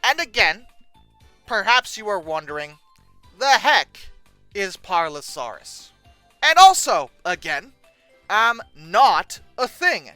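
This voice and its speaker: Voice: mid-range voice, big pitch swings, slow pace, pauses often, bright, forceful tone, no room sound, soft background music. A sarcastic, exasperated host doing comedic reaction commentary, given to mock outrage. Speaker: host of a paleontology commentary show. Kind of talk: comedic reaction commentary, reading aloud and critiquing.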